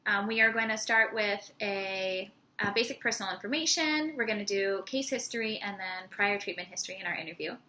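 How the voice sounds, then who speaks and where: A young woman interviewing a patient, asking steady questions with some fillers. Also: medium pitch, a little fast, few pauses. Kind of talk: clinical interview.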